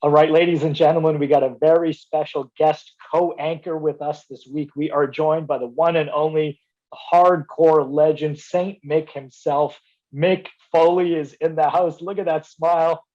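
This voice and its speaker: A man's voice: on the high side, fairly even intonation, normal speed, darkish tone, dull audio. A man hosting a good-news show, chatty and enthusiastic as he introduces and praises a guest. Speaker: show host. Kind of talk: upbeat hosting banter.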